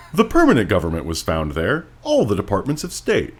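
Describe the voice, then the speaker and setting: A man's voice: lowish voice, huge pitch swings, moderate pace, slightly rough. Dry, wry male podcast co-host. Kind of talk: casual comic book discussion with dramatic read-alouds.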